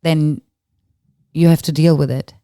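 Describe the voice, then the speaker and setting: A woman from Turkey, a native Turkish speaker with a low voice, chatting excitedly as a podcast guest and laughing often. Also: a little slow, dry recording, clean studio sound. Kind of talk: casual interview chat.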